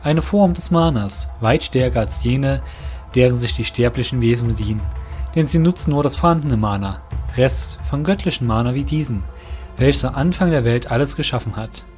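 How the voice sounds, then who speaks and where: A man's voice: medium pitch, lively intonation, normal speed, a little mellow. A man narrating a scripted fantasy audio drama as storyteller. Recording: music under the voice.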